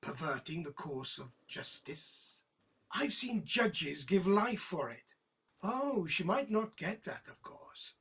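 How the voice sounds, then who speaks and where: A woman playing a menacing, mocking police inspector in an audio drama, with a husky voice and taunting, mock-sweet delivery. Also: on the low side, sing-song, slow pace, a bit bright, full character voice. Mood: quietly menacing.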